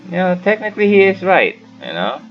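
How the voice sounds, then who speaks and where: A man's voice: high voice, wildly swooping pitch, fairly quick, highs cut off. A man giving casual playthrough commentary as a gaming host, muttering and thinking aloud. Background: game music.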